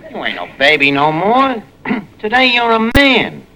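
Brash middle-aged fixer, slightly high, slangy wisecracks, 1940s radio comedy with heavy noise. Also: male voice, huge pitch swings, measured pace, over a noisy crowd, full character voice. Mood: brash, scheming. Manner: wisecracking, malapropism-prone, streetwise. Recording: very noisy vintage radio recording.

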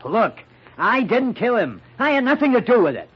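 A man's voice: extremely high pitch, big pitch swings, normal speed, slightly rough, dull audio, exaggerated voice. An American actor playing a defiant, indignant young man in an old-time radio mystery. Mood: defensive. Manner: indignant, urgent. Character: hot-headed, defiant young man protecting his sister.

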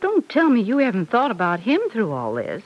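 A woman's voice: mid-range voice, brisk, highs cut off. Motherly middle-aged lady, fond n fussy, super swoopy delivery, old radio drama. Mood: reassuring, probing. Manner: gentle, motherly, expressive. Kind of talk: old-time radio soap opera acting. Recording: vintage radio recording.

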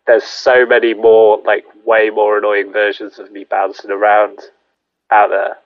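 A man's voice: on the low side, monotone, measured pace, no echo. A man, chatting in a rambling, self-deprecating way full of fillers. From England, near Sheffield. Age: 30s. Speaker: podcast guest. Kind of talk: casual chat. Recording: dull lo-fi sound.

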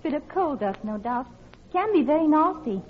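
A shrill-high female counter attendant, eager to help and fond of chat. It is vintage radio drama, with a narrow, tinny sound. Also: big pitch swings, normal speed, slight background noise. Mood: helpful, chatty. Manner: deferential, chatty. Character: helpful, chatty female refreshment-room counter attendant. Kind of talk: old-time radio drama dialogue.

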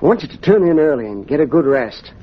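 A man's voice: on the high side, very animated, fast talker, slightly rough. An actor playing a genial, fatherly football coach giving instructions in an old radio drama. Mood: genial, instructive. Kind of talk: 1940s radio drama acting. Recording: dull old radio recording.

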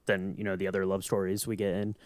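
Lower-voiced man, dry close podcast sound. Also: monotone, fast talker, no echo. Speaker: podcast panelist. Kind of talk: casual discussion.

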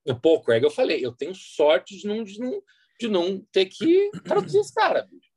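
A man's voice: high voice, sing-song, normal speed, darkish tone, breathy edge, no room sound. A Brazilian man speaking as a guest interpreter in a casual, anecdotal chat, using slangy, colloquial speech.

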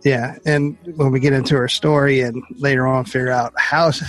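A man's voice: mid-range voice, level tone, moderate pace, fairly bright tone, faint music behind, low-quality recording. A man co-hosting a podcast, upbeat and giggly, asking the guest questions. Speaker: podcast co-host. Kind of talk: casual podcast chat.

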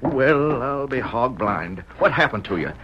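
An actor playing a blustering, scornful Old West sheriff with an American Western accent and a gruff, scolding manner, in an old-time radio western. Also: male voice, mid-range voice, lively intonation, a little slow, close to an everyday voice. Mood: exasperated, scolding. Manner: gruff, scolding, folksy frontier insults. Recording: noisy, dull old radio recording.

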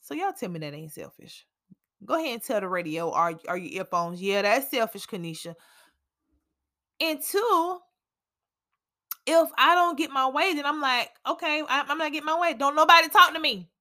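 A woman's voice: high-pitched, expressive, normal speed, pauses often, sharp tone. A woman in her thirties hosting her own podcast as a stay-at-home mom, talking candidly and chattily, laughing often. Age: 30s. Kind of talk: casual solo podcast talk.

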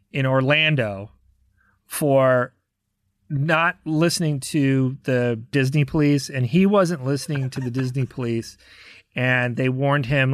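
American male, podcast guest, animated casual raconteur, medium pitch, very dry recording. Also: dry recording. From United States, Chicago area. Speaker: podcast guest, touring rock drummer. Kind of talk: casual anecdote storytelling.

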